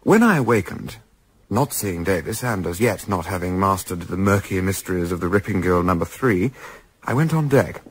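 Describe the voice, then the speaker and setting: An actor playing a polite, well-spoken young English gentleman who narrates his adventure in a radio play, with an English accent. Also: low-pitched, animated, normal speed, slightly rough, no echo, low-quality recording. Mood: reflective narration. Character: Carruthers, urbane young English gentleman, wry, diarist narrator. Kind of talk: radio play acting and first-person narration.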